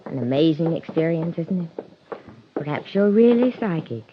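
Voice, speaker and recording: A woman playing a gracious, portentous middle-aged medium with hesitant, theatrical pauses in a 1950s radio drama. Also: low voice, lively intonation, noisy background. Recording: dull vintage radio recording.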